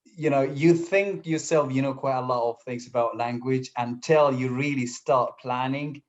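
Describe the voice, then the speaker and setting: A man's voice: medium pitch, fairly even intonation, normal speed. Hesitant Syrian-born man, lo-fi audio. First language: Kurdish. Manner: hesitant, many fillers and restarts. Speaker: guest, English teacher. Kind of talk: casual interview conversation. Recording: slightly dull lo-fi audio.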